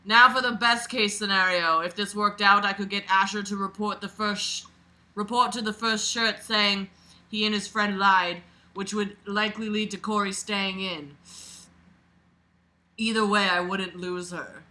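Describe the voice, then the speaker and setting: Bright, forceful, sarcastic woman reading aloud. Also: medium pitch, measured pace, poor audio. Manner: expressive, sarcastic, informal and sweary. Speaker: host reading a book aloud with commentary. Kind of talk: casual mocking read-aloud with off-the-cuff asides.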